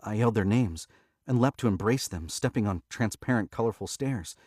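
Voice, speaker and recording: Man, deep voice, stuffy nose, casual streamer reading a book aloud, laughs easily. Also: fairly quick, darkish tone, no room sound, studio quality. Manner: casual and chatty, laughs often, corrects his own misreadings. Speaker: audiobook narrator and livestream host. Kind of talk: live reading of a novel with casual chat.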